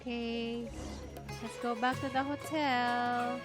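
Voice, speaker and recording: A woman game streamer with a Filipino accent chats casually in a fairly high voice. She takes her time, over clearly audible music. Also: level tone, takes it slowly. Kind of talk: casual live stream commentary.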